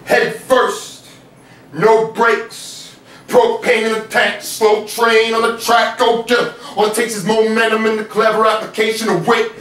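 A young man performing spoken word poetry in a living room, delivering rhythmic rapped verses between soft, rambling chat. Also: high voice, little pitch movement, a little slow. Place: living room of a house, small audience on couches. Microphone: far camera, no mic. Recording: echoey room from far off, faint noise.

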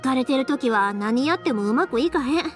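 High bright-hearted young kansai woman, friendly anime game character, bit of music under it. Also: lively intonation, moderate pace. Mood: caring, encouraging. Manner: friendly, earnest, colloquial. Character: cheerful, caring young woman, bold fireworks maker.

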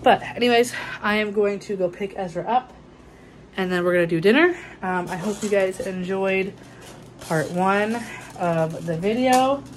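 A woman's voice: mid-range voice, measured pace, noisy background. A young woman chatting casually with many ums and restarts, showing her groceries in a home kitchen, recorded on a propped-up phone. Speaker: vlogger. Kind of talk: casual grocery haul chat. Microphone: phone camera propped on counter.

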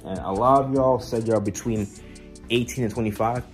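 A man in his twenties chatting casually to camera in a slangy, halting way, recorded on a handheld phone indoors. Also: medium pitch, faint music behind. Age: 20s. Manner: informal, slangy, halting, often says "man". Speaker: vlogger. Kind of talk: casual rambling chat to camera. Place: indoors at home, moving between rooms. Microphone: handheld phone at arm's length.

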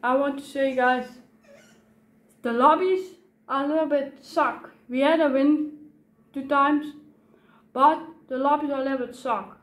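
Gaming streamer, Dutch woman in her twenties, high voice, swears a lot, echoey room. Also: steady tone, a little slow, pauses often. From Netherlands. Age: 20s. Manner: chatty, swears a lot at other players. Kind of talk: casual gaming vlog and live commentary. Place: gaming room, seated in a gaming chair.

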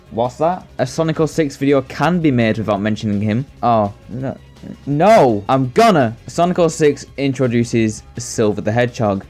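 A man's voice: medium pitch, darkish tone. A young man giving scripted video commentary with joking asides, sarcastic, sweary and mock-exasperated. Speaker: video essay host. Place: indoors, voice-over. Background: background music.

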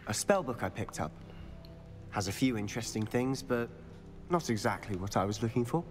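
A man's voice: lowish voice, expressive, normal speed, slight background noise, close to an everyday voice. A young man playing a teenage wizard student in a video game, curious, secretive and teasing in a confidential way. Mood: coy, curious. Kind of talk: video game cutscene acting.

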